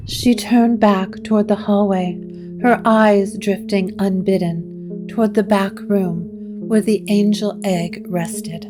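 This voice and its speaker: Female gothic narrator: unhurried, measured scripted read, medium pitch, clear music bed.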